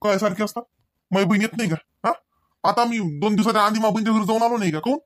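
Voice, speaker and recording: A man's voice: sits high, rapid-fire, no room sound, studio quality, lightly acted. A man playing a cranky older village husband in a Marathi comedy cartoon, with a rural Maharashtrian accent, hectoring his wife with rhetorical questions. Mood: indignant, lecturing. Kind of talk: comic cartoon dialogue, domestic argument.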